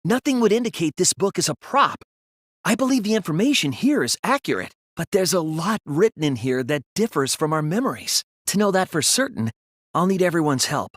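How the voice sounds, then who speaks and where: Teenage boy detective, slightly high, husky, earnest, deliberate, dramatic video game deduction. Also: big pitch swings, no echo, clean studio sound. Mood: measured, earnest. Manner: deliberate, reasoning aloud, emphatic when refuting. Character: earnest, thoughtful teenage male detective, soft-spoken but resolute.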